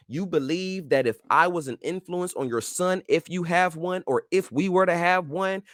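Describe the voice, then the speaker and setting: A man hosts his own advice show and talks straight to the listener in a casual, slang-filled way. His voice sits a little high for a man and swings widely up and down as he presses his points with preachy emphasis. The sound is close and dry. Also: a bit bright, no room sound. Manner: informal slang-filled speech. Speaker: show host.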